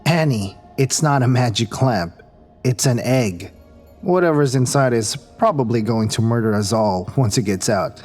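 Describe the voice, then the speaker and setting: Cautious, tender crewmate for sci-fi audio drama. Medium-pitched voice, earnest and measured. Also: male voice, lively intonation, darkish tone, soft background music. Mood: tense. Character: protective, cautious male crew member, tender.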